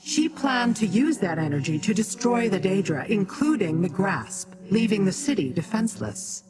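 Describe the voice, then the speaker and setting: A game actor voicing a haughty, imperious demonic being who talks down to mortals in formal, measured speech. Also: mid-range voice, very animated, natural voice, poor audio. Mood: cold, scornful. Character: haughty, imperious Daedra ally, scornful of mortals. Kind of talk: scripted video game dialogue. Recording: music behind the dialogue.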